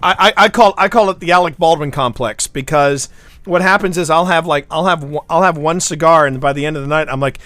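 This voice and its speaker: A man's voice: a little high, brisk, fairly bright tone, no echo. A man from the United States hosting a livestream, rambling through stories and often repeating his words as he chats. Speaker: livestream host. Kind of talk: casual chat.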